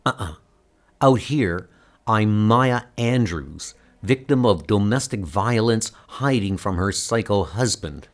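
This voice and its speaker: A man's voice: on the low side, lively intonation, slow. A man voicing a guarded, hard woman, a former bodyguard in hiding, curt and threatening, in a comic book dub. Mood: guarded, sardonic.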